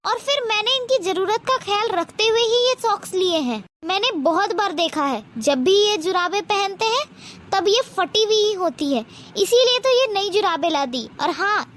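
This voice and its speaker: A woman playing a snobbish middle-aged mother-in-law in an animated moral story, with a condescending, taunting manner. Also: extremely high pitch, normal speed, a little hiss or hum. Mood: scornful.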